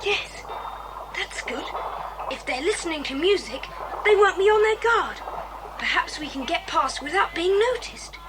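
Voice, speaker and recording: A woman playing a resolute, sensible boy who leads the others in a children's adventure drama, brisk and commanding. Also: extremely high pitch, lively intonation, background noise. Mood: cautious, determined.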